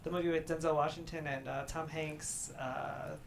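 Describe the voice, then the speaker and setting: A man's voice: on the high side, flat delivery, moderate pace, creaky. Hesitant man with faint background noise. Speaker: actor on a table read panel. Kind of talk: casual panel comment.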